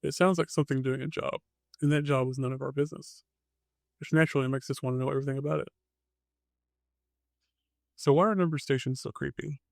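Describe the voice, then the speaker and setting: Gravelly man narrating a mystery podcast. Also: medium pitch, steady tone, quick pace, pauses often, no echo, clean studio sound. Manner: wry asides, short dramatic phrases. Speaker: podcast host.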